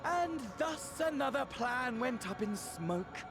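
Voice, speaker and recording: A man's voice: extremely high pitch, expressive, slow pace, over music, heavily acted. An actor playing a theatrical, unhinged male villain in a video game, smug and mocking. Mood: smug menace.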